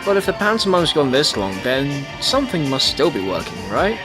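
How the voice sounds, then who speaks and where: A man's voice: fairly high pitch, very animated, moderate pace, over music. A young adult man, narrating a documentary from a script.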